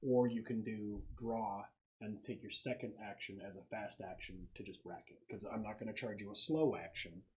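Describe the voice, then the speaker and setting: A man's voice: fairly low pitch, moderate pace, highs cut off. A man talking casually as game master, explaining rules in an easygoing, explanatory way.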